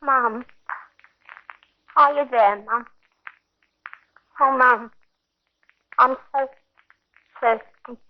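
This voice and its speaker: Radio drama invalid: young wife, high plaintive voice, slow and feeble, dull vintage sound. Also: female voice, fairly even intonation, slight background noise. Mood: feeble, pleading. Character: weak, sick, thirsty young wife. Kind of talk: 1950s radio drama acting. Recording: dull old radio recording.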